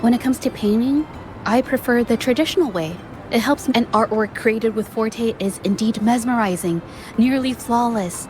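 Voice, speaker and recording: A woman voicing a gentle, thoughtful young female painter in game dialogue, speaking softly and carefully. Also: fairly high pitch, normal speed, slight background noise, close to an everyday voice. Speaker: game character.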